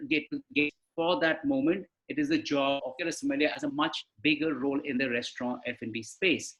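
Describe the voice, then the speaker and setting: A man's voice: fairly high pitch, creaky, low-quality recording. A man, speaking as a panelist on a live webinar over a video call, explaining in a conversational way and asking rhetorical questions. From India.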